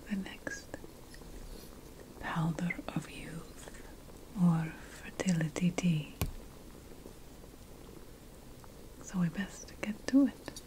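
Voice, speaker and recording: A woman playing an ancient, slyly ominous sorceress apothecary, whispering breathily in an ASMR fantasy roleplay, with deliberate dramatic pauses. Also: lowish voice, a little mellow, rough recording. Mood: burdened, musing.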